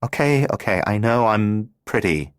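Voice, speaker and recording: A man's voice: on the low side, animated, normal speed, clean tone, no room sound, clean studio sound, voice pushed up, close to an everyday voice. Man playing a shy, modest twelve-year-old girl.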